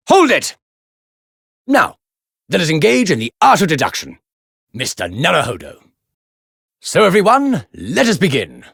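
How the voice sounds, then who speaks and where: Flamboyant, gravelly British detective. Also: male voice, sits high, fairly bright tone, no room sound, clean studio sound, exaggerated voice. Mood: grand, showy. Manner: pompous, grandiose. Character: flamboyant, self-assured British consulting detective, theatrical showman. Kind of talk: theatrical video game voice acting.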